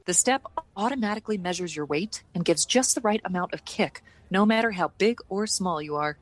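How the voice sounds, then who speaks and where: A woman's voice: mid-range voice, expressive, brisk, close to an everyday voice. A woman playing a confident, petite truck designer in a scripted comedy radio drama, casual and folksy. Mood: cocky.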